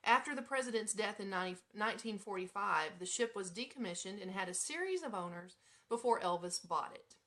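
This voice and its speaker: A woman's voice: mid-range voice, lively intonation, fairly quick, a bit bright. Chatty American woman hosting radio. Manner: informal, chatty, breaks into giggles and asides. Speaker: radio show host. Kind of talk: casual live radio chat with read-out items.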